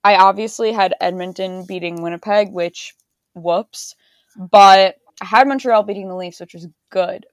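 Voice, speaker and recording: A woman's voice: medium pitch, moderate pace, dry recording. A young woman co-hosting a casual sports podcast, laid-back and wry, and losing her voice. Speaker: podcast co-host.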